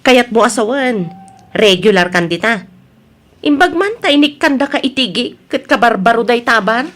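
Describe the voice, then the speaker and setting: Suspicious wife, played by a woman: Ilocano accent, nagging and probing, clearly high voice, radio-drama acting. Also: expressive, normal speed, fairly bright tone. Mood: suspicious, questioning. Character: nagging suspicious wife questioning her husband.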